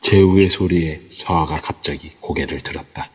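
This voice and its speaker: Deep husky male narrator. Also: fairly even intonation, normal speed. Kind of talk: storytelling narration in an amateur audio drama. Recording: long echoey reverb.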